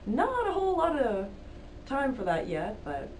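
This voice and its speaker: A woman's voice: sits high, wildly swooping pitch, unhurried, darkish tone, far from the mic, a little hiss or hum. A woman, the band's singer, answering interview questions casually with hesitant fillers and little laughs. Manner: giggly, hesitant, many fillers. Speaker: band singer, guest. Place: college radio studio.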